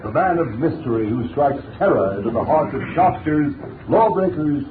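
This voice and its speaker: A man's voice: on the high side, animated, moderate pace, background noise. A man announcing on 1930s radio from a script, emphatic, polished and dramatic. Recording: tinny echoey 1930s radio recording. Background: music.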